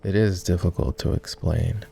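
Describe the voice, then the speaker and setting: A man voicing a polite, self-deprecating old vampire in an intimate roleplay ASMR style, with formal, hesitant phrasing and a soft, husky delivery. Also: sits low, steady tone, moderate pace, soft background music. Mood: wistful. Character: polite, self-deprecating, gently teasing ancient male vampire.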